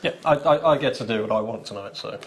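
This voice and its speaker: A man who is a merchandising manager, appearing as a guest on a studio shopping show, talking in a quick, casual, joking way. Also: mid-range voice, a crowd in the background.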